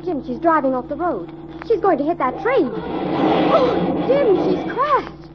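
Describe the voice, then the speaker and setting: High-voiced, earnest American assistant sleuth. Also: female voice, big pitch swings, measured pace, exaggerated voice. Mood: alarmed. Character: earnest, anxious young female assistant sleuth. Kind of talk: 1940s radio acting. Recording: muffled, noisy old radio recording.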